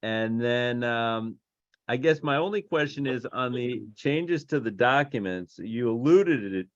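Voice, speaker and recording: A man's voice: mid-range voice, no room sound, muffled recording. A man speaking as an investor on a business conference call, phrasing things hesitantly and piece by piece. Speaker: investor asking questions.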